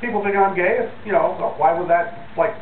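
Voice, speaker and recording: A man's voice: high voice, fairly quick. A man speaking as a talk show panelist in casual, unscripted discussion. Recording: phone-like, roomy, distant, noisy TV audio.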